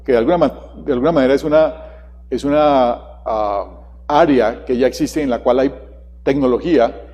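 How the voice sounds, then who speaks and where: A man's voice: mid-range voice, warm tone. A man giving a keynote talk at a conference, asking the audience questions. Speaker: conference presenter. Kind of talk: keynote presentation. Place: large conference hall. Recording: long hall reverb.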